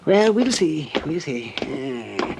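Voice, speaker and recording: An actor playing a genial, naive, tipsy old Bowery drifter in an old-time radio drama, rambling and stammering with a childlike chuckle. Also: male voice, fairly high pitch, sing-song, takes it slowly, gravelly, noisy background, full character voice. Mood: chuckling, eager.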